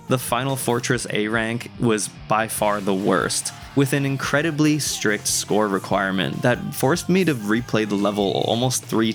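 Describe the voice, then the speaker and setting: A young adult man narrating a scripted video game review in a wry, conversational way. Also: fairly low pitch. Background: music.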